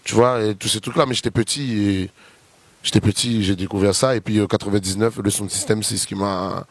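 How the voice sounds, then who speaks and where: Réunionese man, low voice, dry sound. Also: steady tone, brisk. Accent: Réunionese French. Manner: rambling, lists names with many fillers. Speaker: guest reggae artist. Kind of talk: casual interview chat.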